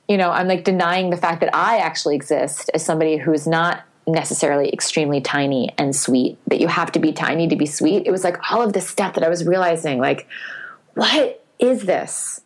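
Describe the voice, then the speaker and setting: A woman guest on a podcast interview talks candidly and thoughtfully, with many fillers, and grows animated at times. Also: on the low side. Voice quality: sweet singing voice.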